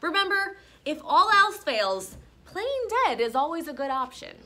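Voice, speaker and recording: Bright, high-pitched woman teacher reading aloud. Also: a little slow. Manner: expressive, instructional, checks in with questions. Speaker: elementary school teacher. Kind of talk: classroom read-aloud with teaching commentary.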